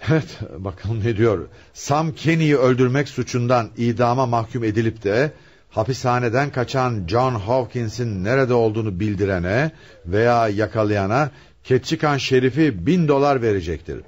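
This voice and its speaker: An actor playing a commanding police commissioner in a radio play, authoritative, deliberate and probing. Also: male voice, mid-range voice, highs cut off, lightly acted. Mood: probing, intrigued. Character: commanding male police commissioner leading a murder investigation. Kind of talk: radio theatre acting.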